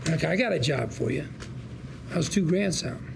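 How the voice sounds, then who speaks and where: An actor playing a slick, fast-talking con-artist lawyer at a drama table read, full of persuasive, slangy patter. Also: male voice, a little high, lively intonation, vocal fry, noisy background. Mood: sly persuading. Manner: glib, persuasive patter with colourful slangy quips.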